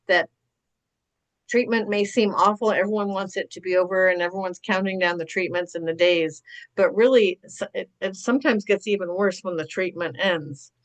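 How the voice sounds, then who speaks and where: A woman speaking as a therapist on an online panel, explaining and advising in a measured, reassuring way. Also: on the low side, poor audio. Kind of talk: explanatory advice in an online discussion.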